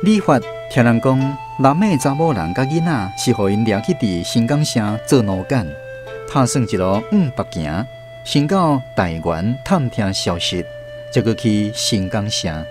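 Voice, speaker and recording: Male narrator, Taiwanese accent, scripted radio storytelling, animated intonation, faint music bed. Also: mid-range voice, a little slow. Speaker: drama narrator.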